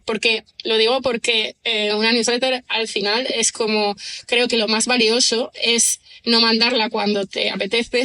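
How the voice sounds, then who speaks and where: Bright-voiced woman musing aloud. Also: on the high side. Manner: thoughtful, rambling, frequent tag questions and fillers. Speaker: guest writer and screenwriter. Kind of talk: conversational podcast interview.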